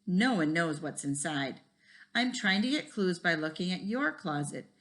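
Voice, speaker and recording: A woman's voice: on the low side, big pitch swings, measured pace, fairly bright tone. A woman voicing an earnest, curious schoolboy detective of about eight in a storybook, eager and inquisitive.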